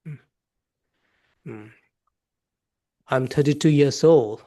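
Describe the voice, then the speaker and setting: A man's voice: medium pitch, takes it slowly, dark tone. A man who is a native Karbi speaker, teaching spoken English as a repetitive, instructional drill for beginners. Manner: repetitive, instructional, checking understanding.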